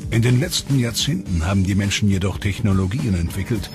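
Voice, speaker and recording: Male doc narrator, deep voice, calm explanatory read, music underneath. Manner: measured, explanatory. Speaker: documentary narrator. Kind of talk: scripted documentary narration. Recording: voice-over with music bed. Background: clear music.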